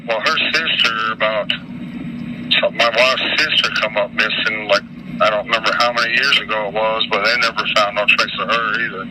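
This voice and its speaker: A middle-aged American man from Utah giving informal interview answers in a halting, repetitive, folksy way. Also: on the low side, fairly even intonation, noisy background. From Utah, United States.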